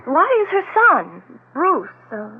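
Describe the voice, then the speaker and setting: High-voiced, inquisitive woman, muffled radio sound. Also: big pitch swings, slow pace, full character voice. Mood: probing, troubled. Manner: probing, questioning. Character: inquisitive, haunted woman friend, quietly probing. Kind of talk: 1970s radio drama acting. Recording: muffled 1970s radio recording, background noise.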